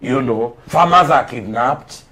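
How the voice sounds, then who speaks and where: A man's voice: mid-range voice, unhurried, pushed and bright. Emphatic Nigerian man discussing politics. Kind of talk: political discussion, storytelling commentary.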